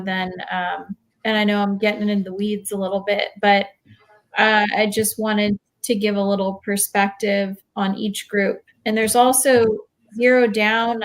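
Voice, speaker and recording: A woman's voice: mid-range voice, poor audio. A woman talking informally and explaining things on a panel, with an earnest, advising manner. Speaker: real estate investor on a panel.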